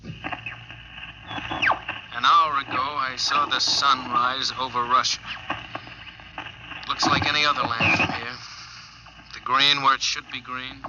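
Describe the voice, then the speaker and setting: An actor playing a lonely, wistful astronaut stranded in orbit, musing haltingly in a 1950s radio drama. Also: male voice, mid-range voice, flat delivery, lo-fi sound, natural voice. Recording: noisy old 1950s radio recording.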